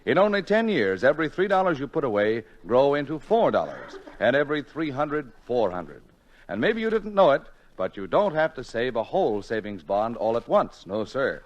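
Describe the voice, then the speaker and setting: An American man reading a scripted public service appeal as an old-time radio announcer. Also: mid-range voice. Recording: dull, lo-fi old radio recording.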